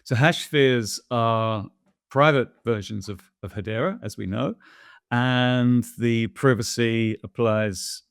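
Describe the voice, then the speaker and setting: A man's voice: mid-range voice, takes it slowly, creaky, smooth, dry recording, clean studio sound. A man in a casual interview, answering viewer questions in a thoughtful, hesitant way, with many fillers and restarts.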